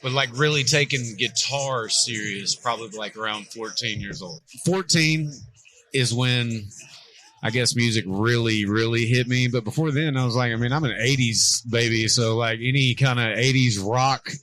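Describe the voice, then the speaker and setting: A man's voice: medium pitch, moderate pace, pushed and bright, no echo. A man in his forties, a rock and hip hop artist, talking earnestly about his music in a casual interview at a concert venue. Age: 40s.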